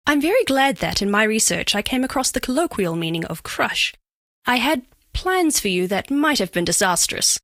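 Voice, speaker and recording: A woman's voice: on the high side, big pitch swings, normal speed, a bit bright, dry recording, studio quality. A woman voicing a curious female alien crystal being for a dating game, speaking formally with stilted, precise, clinical phrasing and awkward flirtation. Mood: tender, affectionate. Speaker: video game voice actress. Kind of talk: scripted dating-game character voice lines.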